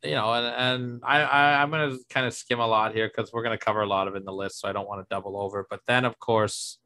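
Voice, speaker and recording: A man co-hosting a casual wrestling podcast, steering the talk along with quick jokes. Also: lowish voice, fairly even intonation, sharp tone. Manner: steers the show, joking and moving things along. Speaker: podcast co-host.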